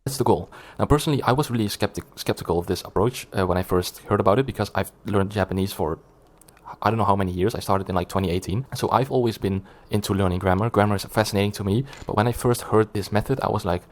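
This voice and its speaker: A man speaks English quickly in a fairly low voice. He explains his view step by step from a script, with an earnest, reasoning tone. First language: Dutch. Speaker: language-learning YouTuber.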